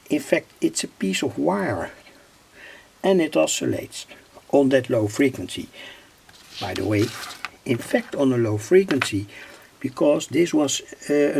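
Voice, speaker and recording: A man demonstrating a radio circuit at his workbench, speaking haltingly with pauses, fillers and self-corrections. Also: medium pitch, gravelly, a little mellow, husky.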